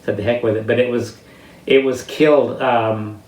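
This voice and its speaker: An elderly man with an American accent reminisces about his life in a halting, reflective way, often searching for words. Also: mid-range voice, steady tone, a little mellow. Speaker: interviewee sharing life stories. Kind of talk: reminiscing personal storytelling. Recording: roomy, distant mic with faint noise.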